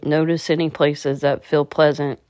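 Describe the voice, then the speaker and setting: Woman guiding meditation, very even pitch. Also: normal speed, no room sound. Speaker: meditation guide. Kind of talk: guided meditation narration.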